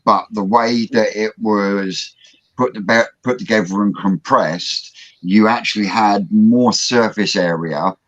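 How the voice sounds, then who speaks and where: A man's voice: lowish voice, unhurried, a bit bright, no echo. A man hosting a casual livestream, explaining technical projects at length with many fillers and restarts, over a video call. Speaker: host. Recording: dull lo-fi audio.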